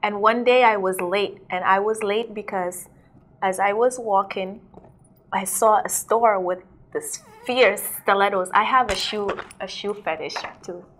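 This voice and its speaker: A woman's voice: mid-range voice, measured pace, fairly bright tone. A young woman from South Sudan, a DJ and model, chatting casually in an interview, saying "like" a lot and laughing often.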